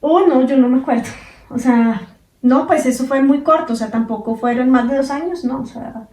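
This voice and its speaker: Woman in her 40s, fairly high voice but mellow, chatting casual, lots of fillers, mic kinda far, echoey room. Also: moderate pace. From Colombia. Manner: relaxed, many fillers like "pues". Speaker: podcast co-host. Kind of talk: casual conversation.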